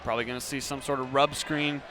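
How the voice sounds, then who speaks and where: A man's voice: mid-range voice, level tone, quick pace. A man working as a radio color commentator, analysing basketball in a conversational, explanatory way. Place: indoor basketball arena. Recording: very loud crowd and arena noise. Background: loud crowd, bouncing ball.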